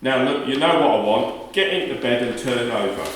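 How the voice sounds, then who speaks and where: A man acts a callous, gruff working-class husband in a radio play. He speaks at a middling pitch with a coarse, dismissive manner. The recording is distant and rings with heavy room echo. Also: animated, moderate pace, fairly bright tone, slight background noise, natural voice. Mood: surly, dismissive. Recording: very echoey room, distant mic.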